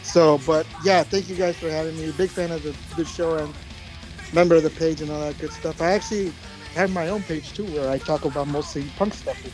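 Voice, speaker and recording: A man from Spain, a guest on a podcast, friendly and enthusiastic, talking a bit haltingly. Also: a little high, steady tone, a little mellow, soft background music, poor audio. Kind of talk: casual podcast chat.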